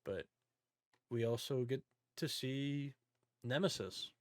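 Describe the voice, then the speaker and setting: A man's voice: mid-range voice, slow pace, no echo. A man hosting a podcast, talking casually about films in an informal, joking recap style. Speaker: podcast host. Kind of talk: casual movie discussion.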